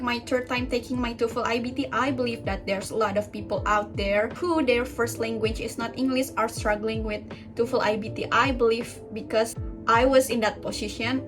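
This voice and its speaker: A young Indonesian woman with an Indonesian accent chats to her viewers in English, her second language, in a fairly high voice. She talks earnestly and casually, with plenty of ums and restarts. Clear background music plays under her voice. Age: young adult. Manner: earnest, chatty, many fillers and restarts. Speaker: YouTuber. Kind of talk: casual vlog talk to camera.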